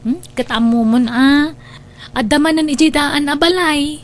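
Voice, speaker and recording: High-pitched actress as dutiful younger sister. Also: female voice, measured pace. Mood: casual. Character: dutiful younger sister, affectionate toward brother and mother. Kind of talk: Ilocano radio drama acting.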